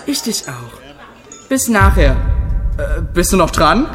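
A man's voice: high voice, sing-song, takes it slowly, a bit bright, heavily acted. A man playing a cocky but put-upon friend on an adventure in a comedic audio drama. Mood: casual, placating. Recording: music behind the voice.

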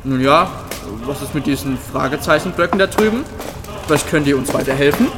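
A man's voice: on the high side, expressive, fairly quick, loud music behind the voice, natural voice. A man playing a cocky, playful young adventurer in an amateur audio drama.

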